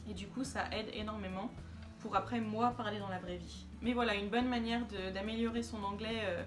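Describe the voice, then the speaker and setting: A woman's voice: mid-range voice, steady tone, a little fast, music in the background. A woman vlogger talking casually to camera, chatty and hesitant with many fillers.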